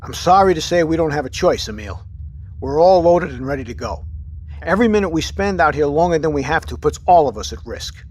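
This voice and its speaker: An actor playing a steady, pragmatic submarine captain in an audio drama, with a clipped, commanding, matter-of-fact manner. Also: male voice, a little high, brisk. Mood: firm, resolved.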